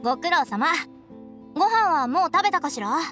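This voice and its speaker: A woman voicing a small, innocent fairy-like girl creature in a Japanese video game, sweet, gentle and caring. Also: sits high, lively intonation, brisk, faint music behind.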